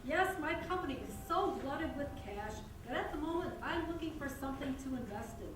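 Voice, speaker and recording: An actress playing an eccentric, self-satisfied rich company president in a comic stage reading. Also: female voice, sits high, animated, fairly quick. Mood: boastful. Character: boastful, eccentric wealthy remedy-company president. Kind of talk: comic stage acting, readers theatre. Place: indoor stage or hall. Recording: echoey hall, distant mic, clear noise.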